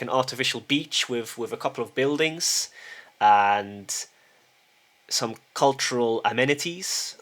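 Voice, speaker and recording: A middle-aged man talking to camera in a relaxed, unscripted way, his voice sitting at an ordinary middle pitch. He stumbles and repeats little words as he restarts his sentences. He keeps tagging his points with a questioning "yes?" as if checking the listener is still with him. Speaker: vlogger. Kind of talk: casual spoken monologue. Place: lives in a small town.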